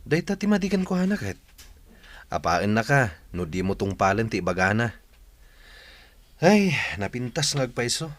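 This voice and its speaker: A man playing a sympathetic, gently scolding office friend in an amateur serial drama. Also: medium pitch, big pitch swings, normal speed, pauses often, lightly acted. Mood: chiding, concerned.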